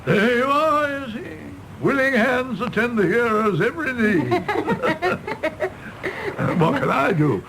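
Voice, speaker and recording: A theatrical older doctor, kindly and world-weary, in a radio drama. His high voice lingers over florid phrases with swooping intonation, over clear background noise. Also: full character voice. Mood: amused, mock-heroic. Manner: florid, expressive, drawn-out phrasing. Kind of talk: British stage-play radio drama acting.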